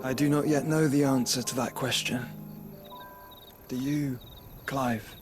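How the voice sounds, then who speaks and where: A man's voice: medium pitch, fairly even intonation, a little slow, music in the background, lightly acted. A man playing a weary, ailing, philosophical duke in a game cutscene, speaking in a formal, eloquent way. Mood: solemn, rueful. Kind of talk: dramatic video game cutscene acting.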